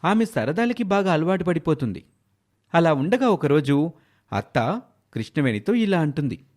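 A man's voice: on the high side, very animated, moderate pace, a little mellow. A man narrating a scripted moral tale as a storyteller.

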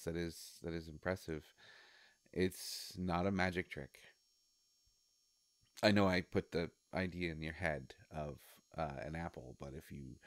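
A man playing a sly devilish shopkeeper in a roleplay audio, coaxing and musing with hesitant pauses. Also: deep voice, no echo. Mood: amused, reassuring. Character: sly devilish male shopkeeper of a wish-granting store. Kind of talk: scripted roleplay audio monologue.

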